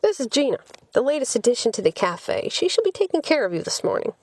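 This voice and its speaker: A haggard diner waitress as a female narrator plays her in an audiobook, brisk and pitched up. She is businesslike and ready to holler, in a dry recording. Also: very animated, a little mellow, dry recording.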